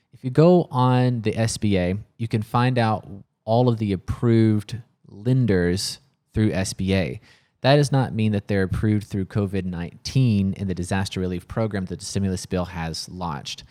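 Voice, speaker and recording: A man with a low voice speaks in a casual, earnest vlog style. He sounds worried and frustrated, explaining himself plainly and sometimes searching for words. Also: normal speed, warm tone. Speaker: coffee shop owner filming a personal vlog.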